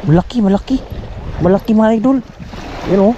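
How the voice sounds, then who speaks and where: A Filipino man on a sunny beach who fishes and talks to his viewers, excitable and repeating himself, recorded on a head-mounted action camera. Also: high voice, brisk, highs cut off. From Philippines. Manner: excitable, repeats phrases, calls viewers "idol". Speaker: fishing vlogger. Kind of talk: casual commentary to viewers while fishing. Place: sunny sandy beach by the sea, outdoors. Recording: outdoor camera mic with wind and sea noise.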